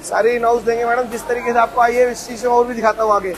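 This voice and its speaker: A man showing visitors around a footwear factory, pitching its products with eager, insistent promises. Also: level tone, fast. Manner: eager salesman, keeps inviting and promising quality. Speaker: footwear factory owner giving a tour. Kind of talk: sales pitch factory tour. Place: footwear factory floor, indoors. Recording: noisy factory machinery behind.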